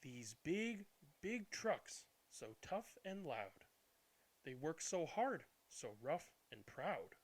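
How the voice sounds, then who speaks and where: Slow, animated man reading a bedtime story. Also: a little high. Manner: animated, deliberate reading with hushed good nights. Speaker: read-aloud channel host. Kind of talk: expressive children's bedtime storybook narration.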